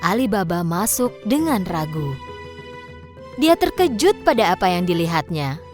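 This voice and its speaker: A woman's voice: on the low side, big pitch swings, measured pace, a little mellow, soft background music. A woman narrating a children's storybook tale from a script.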